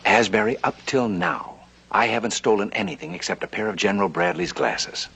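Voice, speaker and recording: An actor playing a smooth, scheming banker in a 1950s television western, dry and persuasive in the way he talks. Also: on the low side, fairly quick, fairly bright tone, full character voice. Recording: old TV soundtrack with faint music.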